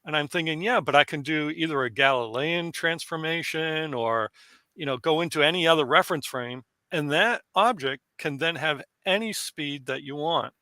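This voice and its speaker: A man's voice: fairly high pitch, normal speed, bright, forceful tone, no echo. A man giving a thoughtful, musing comment to the speaker in an online meeting. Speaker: audience member commenting.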